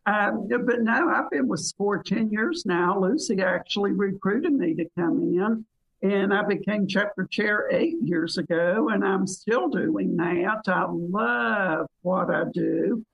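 A woman's voice: medium pitch, takes it slowly, vocal fry, slightly rough, muffled recording. An older woman with a Southern US accent, chatting enthusiastically as a guest on a radio talk show. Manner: warm drawl, enthusiastic, chatty. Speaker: guest, business mentoring chapter chair. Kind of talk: casual radio interview.

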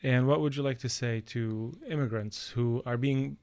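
Gravelly podcast host asking long questions. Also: male voice, medium pitch, steady tone, moderate pace, darkish tone, dry recording. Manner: asks long, rambling questions. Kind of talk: casual interview.

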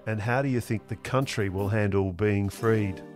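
A man's voice: lowish voice, moderate pace, darkish tone, faint music behind. A man hosting a short podcast, reading scripted narration and asking interview questions with dry asides. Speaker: podcast host.

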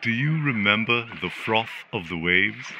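An actor playing a caring but menacing government relaxation robot in a dystopian radio drama, putting slow, rhetorical questions. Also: male voice, on the low side, animated, background noise. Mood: eerie soothing. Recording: music under the voice.

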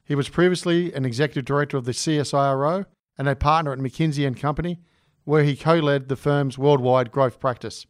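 A man hosting a podcast, reading a scripted introduction and asking interview questions in a measured way. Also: mid-range voice, steady tone, no echo, studio quality. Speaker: podcast host.

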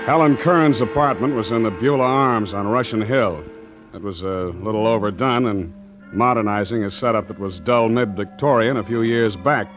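An actor playing a tough, wry ship's master who narrates his adventure in deadpan 1940s radio noir style. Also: fairly low pitch, normal speed, natural voice. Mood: wry narration. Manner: deadpan, wisecracking. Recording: muffled 1940s radio recording with music.

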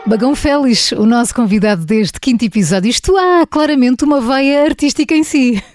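A Portuguese woman with a fairly high voice introduces a programme as radio presenter. The sound is dry, with faint music behind her. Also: fairly quick, darkish tone, no room sound. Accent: European Portuguese.

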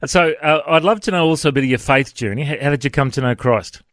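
A man's voice: on the high side, quick pace, a bit bright, dry recording, clean studio sound. A man hosting a radio interview, asking friendly questions and cracking jokes. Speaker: radio host.

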